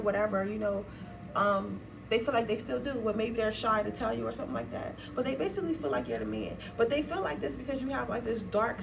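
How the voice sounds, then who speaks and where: A woman in her twenties with a raspy voice, chatting casually and rambling informally as she gives a tarot reading. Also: a little high. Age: 20s. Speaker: tarot reader. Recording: noisy room, voice a bit far from mic.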